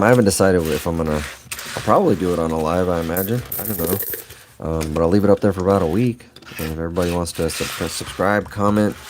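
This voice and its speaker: Man, extremely low bass voice, slow drawl, allergy-thickened, rambling livestream chat, faint hiss. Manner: slow, rambling, laughs often, thanks viewers. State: allergies, voice lower than usual. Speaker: livestream host. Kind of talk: casual live chat with viewers.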